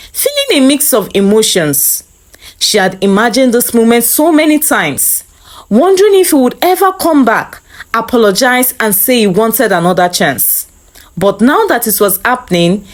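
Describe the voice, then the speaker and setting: Woman narrating with a lively, high voice. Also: unhurried. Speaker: storyteller, narrator. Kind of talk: scripted dramatic story narration.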